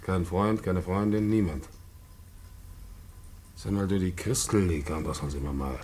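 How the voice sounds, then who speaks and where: Casting: blunt working-class barkeep father, middle-aged, fairly deep voice, radio drama. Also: a little slow, darkish tone, slight background noise. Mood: prodding, gruff. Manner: brusque, blunt, short commands. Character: gruff working-class Berlin tavern keeper, father, communist.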